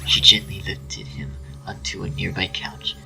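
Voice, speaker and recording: Male narrator, deep breathy delivery, dramatic scripted reading with prominent music underneath. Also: completely flat delivery, measured pace, bright, forceful tone, poor audio.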